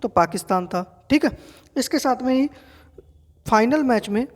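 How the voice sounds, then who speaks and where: A woman's voice: on the high side, animated, moderate pace. A woman with an Indian accent teaching an exam quiz lesson, didactically repeating key facts and posing questions to her students. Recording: long reverberant hall-like echo.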